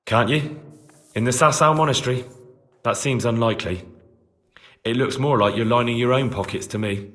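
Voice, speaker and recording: An actor playing a blunt, persistent young investigator in a medieval video game, with a direct, questioning manner. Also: male voice, mid-range voice, moderate pace, pauses often, fairly bright tone, long reverb tail, close to an everyday voice. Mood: pressing, suspicious.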